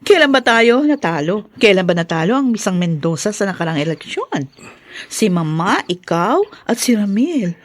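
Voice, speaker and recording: A woman's voice: medium pitch, very animated, normal speed, no echo. An actress playing a spoiled, ambitious woman of a political family in an audio drama, with a playful, exclaiming delivery. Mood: eager, coaxing. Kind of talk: melodramatic audio drama acting.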